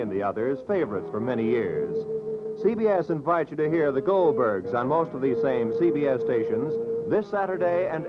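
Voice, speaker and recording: An American man announces in polished old-time radio style, with emphatic, very lively intonation. Music plays behind him on a dull vintage recording. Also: mid-range voice. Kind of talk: 1950s radio announcing, scripted.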